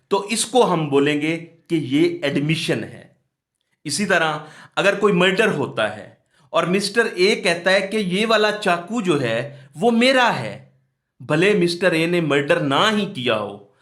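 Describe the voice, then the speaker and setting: A man's voice: fairly high pitch, fairly bright tone. A man with a Pakistani accent teaching law, reading provisions aloud and explaining them in a didactic way, often posing questions and answering them. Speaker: law lecturer. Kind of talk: exam-focused lecture, reading statutes aloud and explaining.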